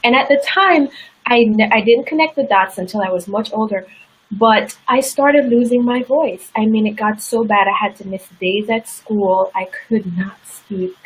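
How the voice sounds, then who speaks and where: Husky-voiced woman telling her story. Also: medium pitch, normal speed, rough recording. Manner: animated personal storytelling, candid and earnest. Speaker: interview guest, success coach. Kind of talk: casual interview storytelling.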